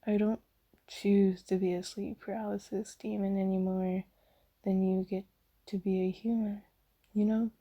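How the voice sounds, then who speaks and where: A woman voicing a kindly, apologetic demon in a soft, half-whispered, hesitant and reassuring ASMR comfort roleplay. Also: mid-range voice, little pitch movement, measured pace, lightly acted. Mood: apologetic, gentle. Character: kindly apologetic female sleep paralysis demon, gentle comforter.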